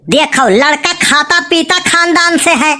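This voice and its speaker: A woman plays a cartoon village matchmaker with a very high, sharp voice and an Awadhi accent. The figure is cheeky and stubborn, always coaxing and pressing in colloquial comic banter. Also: measured pace. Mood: coaxing, insistent.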